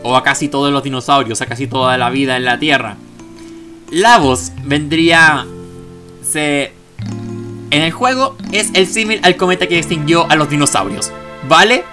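A man's voice: fairly high pitch, normal speed, pushed and bright, faint music behind. A man hosting a gaming video with casual let's play commentary, full of chatty, jokey asides.

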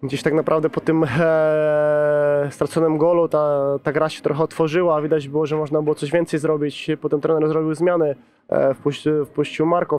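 A footballer in his thirties answers post-match questions, pausing and restarting often. His voice sits a little high and stays level. He speaks into a handheld mic outdoors. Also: a little mellow, smooth. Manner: hesitant, many fillers and restarts. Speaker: football player. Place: pitchside interview backdrop at a stadium, outdoors. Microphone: handheld reporter's mic.

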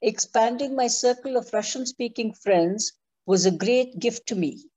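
A woman in her seventies from India, a Tamil speaker, reading a prepared conference talk in a measured, deliberate way. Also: fairly high pitch, mellow. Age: 70s.

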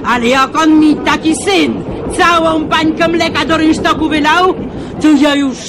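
A woman playing a loud, complaining village market woman in a radio play. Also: high-pitched, steady tone, a little slow, background noise. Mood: indignant.

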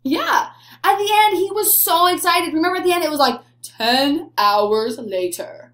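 A young woman, a teacher, explaining a story to small children in simple, expressive talk. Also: very high-pitched, brisk, roomy sound. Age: young adult. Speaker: elementary school teacher. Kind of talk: read-aloud discussion addressed to young children. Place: small plain room indoors.

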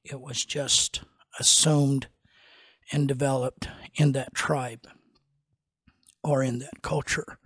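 An older man, a pastor and podcast host, teaching in a slow, rambling way with many fillers and restarts, on a studio mic in a small wood-paneled room. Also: medium pitch, flat delivery, a bit bright, slightly rough, no room sound. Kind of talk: unscripted teaching talk. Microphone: studio mic on a boom arm.

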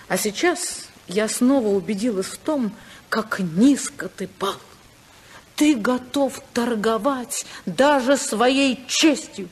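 An actress playing a dignified, disillusioned senator's wife, earnest, measured and defiant, in a 1950s Soviet radio drama. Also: female voice, fairly high pitch, slightly rough.

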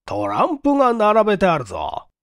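Man acting a commanding, animated old-school police chief. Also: high-pitched, fairly quick, no room sound, studio quality, voice pushed up, exaggerated voice. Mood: startled, puzzled. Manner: commanding, old-fashioned official speech. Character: authoritative, probing male police chief, gruffly interrogating. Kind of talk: dramatic audiobook character acting.

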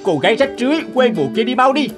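A man's voice: very high-pitched, lively intonation, very fast, music in the background. A man voicing a haughty young servant of a noble house in a cartoon, exclaiming with scorn. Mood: scornful. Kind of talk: cartoon folk tale acting.

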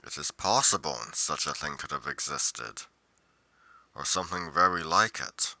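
A man playing a brooding, solitary monster investigator in a roleplay monologue, musing deliberately with pauses mid-sentence. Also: extremely low pitch, expressive, bright, forceful tone, dry recording, full character voice. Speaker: roleplay performer.

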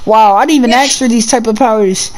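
A performer playing an excitable teenage demon boy on the run, talking in casual slang in an improvised video-game roleplay. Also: mid-range voice, fast, lightly acted, rough recording.

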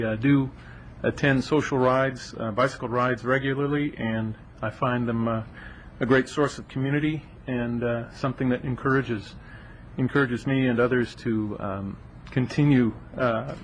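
A man's voice: medium pitch, low-quality recording. Man, 50s, public commenter, hesitant and filler-heavy, podium mic, council chamber, faint room hum. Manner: halting, many fillers. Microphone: podium microphone.

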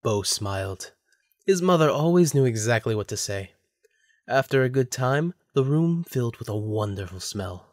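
A man's voice: medium pitch, animated, normal speed, no echo, studio quality. A man narrating a scripted story for an audio drama.

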